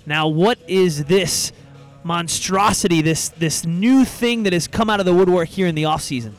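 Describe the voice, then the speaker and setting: A man hosting a podcast, upbeat and energetic, leading an interview. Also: high voice, moderate pace, faint music behind. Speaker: podcast host.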